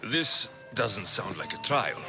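An actor playing a dry, sarcastic young mercenary hero of ancient Greece in a video game, with music behind him. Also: male voice, on the low side, big pitch swings, takes it slowly, creaky, full character voice. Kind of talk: video game cutscene acting.

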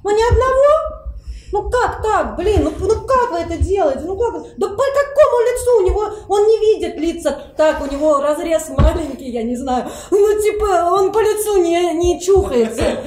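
A woman's voice: very high-pitched, expressive, normal speed, a little mellow. A woman playing a mafia game, talking in an exasperated, colloquial way. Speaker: game player. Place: meeting room around a large table. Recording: echoey room.

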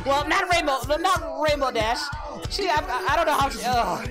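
A man voicing an excitable, distraught cartoon martial-arts hero as a reaction host, melodramatic, exclamatory and given to repeating himself. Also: very high-pitched, moderate pace, shouting, over music. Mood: horrified, hysterical. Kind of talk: comic in-character reaction commentary.

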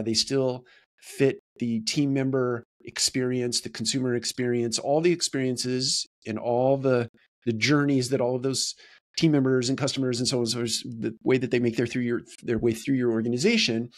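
A man talks to camera as a host, explaining in a reflective, deliberate way with repetition for emphasis. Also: mid-range voice. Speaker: host of a business video series. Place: small home office, indoors.

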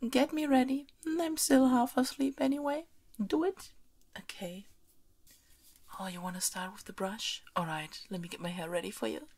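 A woman performing an ASMR roleplay as a haughty, teasing, demanding young princess, soft-spoken and close, often slipping into a whisper. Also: on the high side, normal speed. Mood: bossy, teasing. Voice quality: breathy, often dropping into whispers. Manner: playfully bossy, imperious, expressive.

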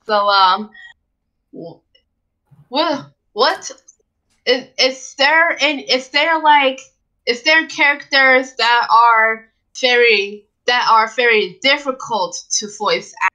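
A woman with a bright, fairly high voice asks a question very slowly, halting and repeating herself. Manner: halting, repeats words as they go. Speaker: audience member asking a question. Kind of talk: casual question at an online panel. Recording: dull lo-fi voice chat.

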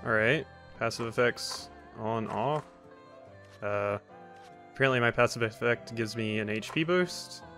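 A man's voice: mid-range voice, unhurried, bright, forceful tone. A man giving casual, rambling gameplay commentary as a let's play host, with wry asides. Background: faint game music.

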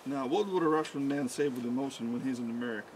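A man's voice: medium pitch, fast, very noisy. A man trading casual, playful quips with friends outdoors at a campsite, caught by a camera microphone. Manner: playful, quipping. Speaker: camping companion. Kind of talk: casual joking banter. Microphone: camera microphone, a little away. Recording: outdoor camera audio with background chatter.

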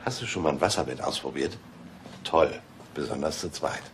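An actor in a radio drama playing a pushy, flirtatious ex-husband who teases and wheedles. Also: male voice, extremely low pitch, expressive, moderate pace. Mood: teasing, flirtatious. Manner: teasing, wheedling. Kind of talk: German radio drama acting. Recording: echoey room, clear background noise.

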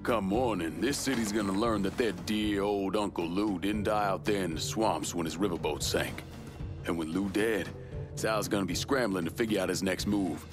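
Actor as a deep, commanding gang leader. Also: male voice, normal speed, lightly acted. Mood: grim, commanding. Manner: terse, assured. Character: hard, commanding young male gang leader, war veteran. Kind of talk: dramatic video game acting. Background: music.

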